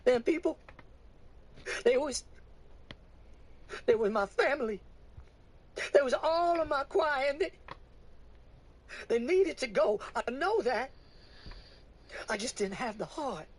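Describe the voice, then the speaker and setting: A man voicing a frightened, grieving older church woman, high and breaking with tears. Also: animated, slow pace, long pauses, full character voice.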